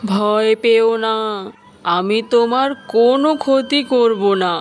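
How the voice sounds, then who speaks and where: A woman voicing a mysterious female spirit from the underworld in a cartoon fairy tale, commanding and deliberate, stern yet benevolent. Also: on the high side. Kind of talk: animated fairy tale dialogue. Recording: voice over light background music.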